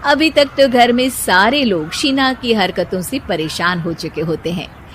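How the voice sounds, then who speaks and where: A woman reading scripted narration for an animated moral story. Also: medium pitch, a little slow, a little hiss or hum.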